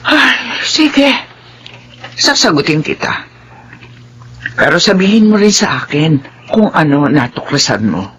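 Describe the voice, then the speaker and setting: Someone acting a fretful older housekeeper woman, animated. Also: on the low side, unhurried, long pauses, slight background noise. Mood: concerned, startled. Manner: motherly, fretful. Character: fussy, concerned older female household helper. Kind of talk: Filipino radio drama acting.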